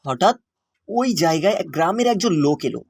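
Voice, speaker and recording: A man's voice: sits high, sing-song, a little fast, vocal fry, a little mellow, husky, no room sound, studio quality, exaggerated voice. A man narrating a scripted cartoon story.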